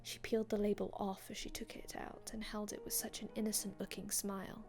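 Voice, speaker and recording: A wistful vampire from another age , acted by a woman, recounts old tales in an ASMR roleplay. The delivery is hushed and breathy, with little swing in pitch and long dramatic pauses. The wording is formal and antique, and soft music plays underneath. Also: level tone, natural voice. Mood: wistful, hushed storytelling. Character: melancholy otherworldly vampire from an older time, telling old tales.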